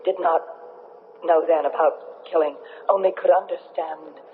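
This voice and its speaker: A man playing an alien, the last of its race, in a 1970s radio drama, speaking in halting, broken phrases. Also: on the high side, steady tone, lightly acted. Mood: halting, insistent. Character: alien lifeform, last of its race, pleading to survive. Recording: muffled, noisy old radio broadcast.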